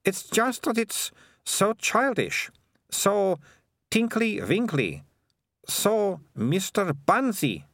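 A man's voice: sits high, very animated, slow pace, a bit bright, no room sound, clean studio sound, pitched above the performer's own voice, exaggerated voice. A man voicing a haughty, impatient, sarcastic young girl in an audiobook, with a scornful, blasé manner. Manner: scornful, blasé, drawling on mocked words. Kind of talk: audiobook character voice.

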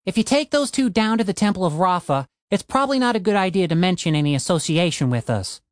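A man voicing a young male adventurer who speaks in a quiet, measured way. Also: sits high, expressive, dry recording, clean studio sound, voice pushed up. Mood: earnest. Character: earnest young man adventurer, grieving but determined.

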